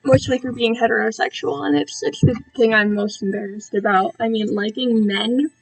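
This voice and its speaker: A woman's voice: on the high side, fairly even intonation, normal speed, gravelly, slightly rough, no room sound, low-quality recording. A woman chatting casually to viewers on a live stream, rambling and self-deprecating, easily drifting off track. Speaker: streamer reading own fan-written work to viewers.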